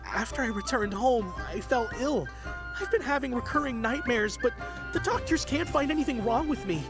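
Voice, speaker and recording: A woman's voice: on the high side, lively intonation, moderate pace. A woman voicing a frightened young villager in a video game who believes a curse has been laid on them, panicky and stammering. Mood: nervous. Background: game music.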